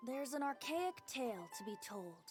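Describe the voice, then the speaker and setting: A woman's voice: high-pitched, sing-song, moderate pace. A woman voicing a playful, boyish bard in a fantasy video game trailer, theatrical and lilting like a storyteller. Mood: lyrical storytelling. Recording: game trailer audio with music behind.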